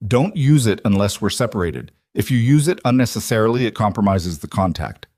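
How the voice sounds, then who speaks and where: A man's voice: lowish voice, lively intonation, a little fast, a little mellow, no echo, clean studio sound. A man voicing a controlled, terse professional woman who gives commands in an audiobook thriller. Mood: terse, commanding.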